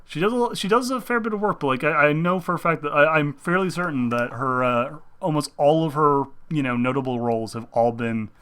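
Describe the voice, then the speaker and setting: A young man talks in a loose, rambling way, often breaking off and restarting mid-thought. His voice is bright and forward, and it jumps up with excitement when an idea grabs him. He is hosting a casual chat about games. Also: on the high side, fairly quick, few pauses, clean tone. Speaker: host.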